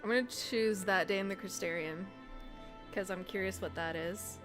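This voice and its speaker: A woman's voice: medium pitch, moderate pace, music in the background. A woman streaming a game, giving casual commentary and reading bits of dialogue aloud.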